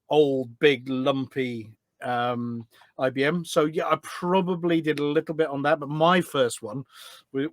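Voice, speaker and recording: A man's voice: medium pitch, no echo. A middle-aged British man chatting casually as a podcast guest, rambling and correcting himself as he reminisces. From United Kingdom.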